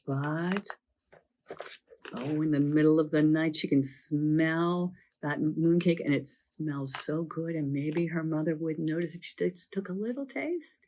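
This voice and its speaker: Croaky woman librarian with a cold. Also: deep voice, measured pace, a little hiss or hum. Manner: chatty, lively storytelling delivery. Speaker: children's librarian. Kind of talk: presenting a library program and summarizing books.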